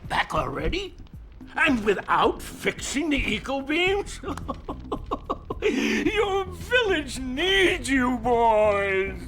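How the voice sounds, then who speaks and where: A man's voice: extremely high pitch, sing-song, extremely slow, bright, forceful tone, faint music behind, full character voice. A man voicing a flustered, greedy village mayor in a video game cutscene, stammering and wheedling. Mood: fretful.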